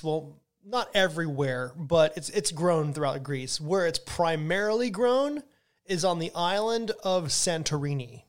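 A man hosting a podcast, talking casually and enthusiastically about wine, often restarting his sentences. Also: a little high. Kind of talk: casual educational monologue.

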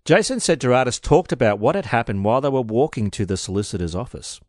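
A man's voice: mid-range voice, expressive, fast, darkish tone, no echo, clean studio sound. A man co-hosting a casual true-crime podcast, reading out case details and joking in between.

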